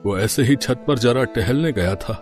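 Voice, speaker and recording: A man playing a subdued elderly father-in-law in a cartoon family story, gentle, hesitant and wistful. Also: medium pitch, normal speed, faint music behind, close to an everyday voice.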